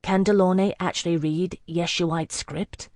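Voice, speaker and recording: A young scholar-warrior in an unusually light, easy mood, read by a woman narrating an audiobook. The voice stays low and unhurried. The recording is close and dry. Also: fairly even intonation, darkish tone, no room sound, natural voice.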